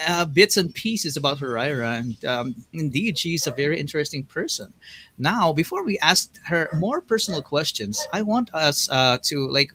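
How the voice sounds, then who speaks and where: A man hosting a casual live-stream interview, excitable and quick to laugh. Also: on the high side. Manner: excitable, laughs often, says "bro" and "dude". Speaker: livestream host.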